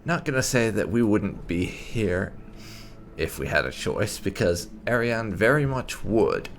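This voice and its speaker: A man's voice: on the low side, sing-song, unhurried, slight background noise. A man hosting a roleplay gaming video, with wry, casual and mildly profane commentary. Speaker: gaming channel host.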